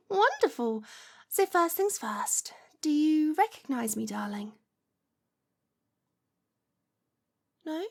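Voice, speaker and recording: A woman's voice: high voice, sing-song, slow pace, creaky, exaggerated voice. A woman playing a lovestruck, possessive witch in an ASMR roleplay, cooing and coaxing with a condescending sweetness. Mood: smug, coaxing.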